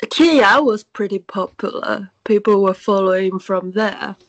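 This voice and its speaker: A woman's voice: medium pitch, measured pace, dry recording. A woman chatting casually as a guest on a podcast. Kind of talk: casual chat.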